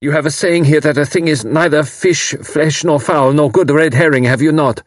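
A man's voice: on the high side, normal speed, no room sound, clean studio sound, pitched above the performer's own voice, close to an everyday voice. A man voicing an anguished, bitter young German refugee scientist who pleads with intensity.

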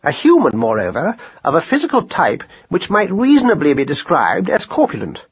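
Man as learned male mouse, storybook character, pompous, wordy, gloomy, raised pitch, very animated intonation. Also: moderate pace, breathy edge, dull audio, exaggerated voice.

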